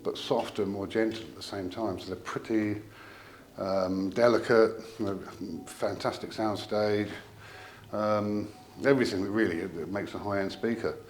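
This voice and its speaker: A man giving an informal technical product explanation as a company representative, in a hesitant, halting way with trailing asides. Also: on the low side, fairly even intonation.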